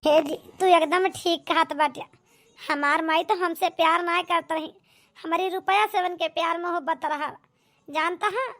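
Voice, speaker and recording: A woman's voice: extremely high pitch, steady tone, a little slow, fairly bright tone. A woman playing a grumbling village wife in an amateur cartoon, with an Awadhi accent and a complaining, gossipy manner. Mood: resentful. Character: grumbling village wife and resentful daughter Poonam. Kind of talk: amateur comedy cartoon dialogue in rural dialect.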